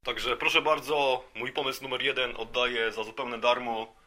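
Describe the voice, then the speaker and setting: A man's voice: mid-range voice, flat delivery, normal speed, bright, forceful tone. A man hosting a podcast, delivering a satirical monologue as a mock lecture in a rambling, conversational, mock-serious way with rhetorical tag questions. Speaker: podcast host.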